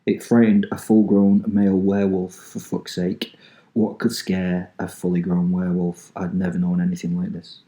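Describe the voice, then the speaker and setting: Low, dark-voiced Manchester man. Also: completely flat delivery, normal speed, poor audio. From England. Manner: swearing, rambling, frequent ums. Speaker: podcast host. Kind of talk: casual monologue, reading a written story.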